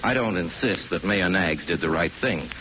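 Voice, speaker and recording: Deep-voiced man narrating an old radio drama. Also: animated, normal speed. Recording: muffled 1941 radio recording, faint noise.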